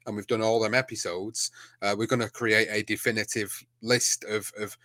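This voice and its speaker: A man's voice: on the low side, level tone, normal speed, bright, forceful tone, dry recording. A man with a Manchester accent, a podcast co-host, talking casually in an interview with lots of fillers and restarts. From England. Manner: rambling, many fillers and restarts.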